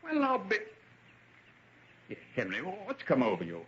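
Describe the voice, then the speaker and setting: A man acting a hearty, teasing young English gentleman with a British accent in an old-time radio drama, jovial and bantering. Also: high voice, wildly swooping pitch, measured pace, a little hiss or hum, exaggerated voice. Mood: frank, prodding. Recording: dull, band-limited vintage radio recording.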